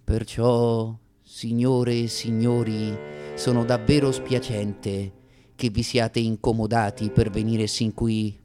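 A man's voice: mid-range voice, darkish tone, lightly acted. A man with a Roman Italian accent playing a self-mocking, plaintive stage prologue in a declamatory comic monologue for radio theatre. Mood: mock solemn. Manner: declamatory, with drawn-out pauses and sudden hurried bursts.

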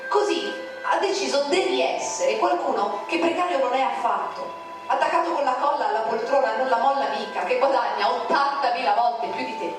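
Woman, high voice, declamatory poetry reader, far off in a booming room. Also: brisk. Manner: declamatory, with sharp swings in pitch. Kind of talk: dramatic poetry recitation. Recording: very echoey, distant, with music. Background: music.

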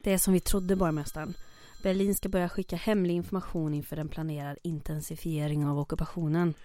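An actress playing a mayor's aide in the resistance, businesslike but hesitant and scheming. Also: female voice, deep voice, flat delivery, mellow, dry recording, lightly acted. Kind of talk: audio drama acting.

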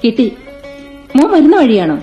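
A woman's voice: on the high side, big pitch swings, normal speed, mellow. A woman playing a lonely, resolute woman who guards the family house and mothers a young man, in a radio play. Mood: tender. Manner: firm, protective, motherly. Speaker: radio drama actor. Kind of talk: 1980s Indian radio play acting. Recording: dull old radio recording with faint music.

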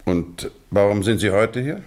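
An actor playing a stern, insistent English police investigator in a German radio drama, questioning in formal, pointedly courteous phrases. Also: male voice, on the low side, animated, measured pace, darkish tone. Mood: stern, pressing. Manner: formal, pointed questioning with mock-polite address.

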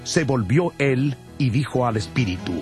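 A man delivers scripted dramatic scripture narration. His voice is mid-pitched, with music playing behind him. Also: lively intonation, normal speed, a little mellow.

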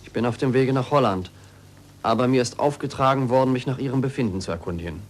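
An actor playing a scientist's former male pupil in a 1940s radio drama, formal, measured and coolly reproachful. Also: mid-range voice, close to an everyday voice. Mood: stiffly polite. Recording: noisy 1940s radio recording.

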